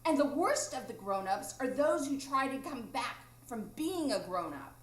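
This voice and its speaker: A woman's voice: fairly high pitch, sing-song, moderate pace. A woman playing a cocky, playful boy who refuses to grow up, taunting in a live radio drama. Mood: cocky, mocking. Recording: echoey room, live stage recording.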